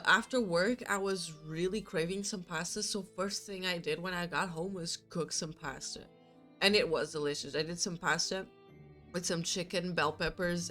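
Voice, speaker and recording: A woman's voice: lowish voice, fairly bright tone. Stuffy-nosed young woman chatting on stream. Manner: chatty, rambling, laughs often. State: stuffy nose from a cold. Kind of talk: casual chat with live chat.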